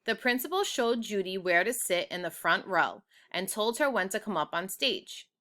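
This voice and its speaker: A woman reading a children's book aloud, with expressive, deliberate storytelling. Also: medium pitch. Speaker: storybook reader.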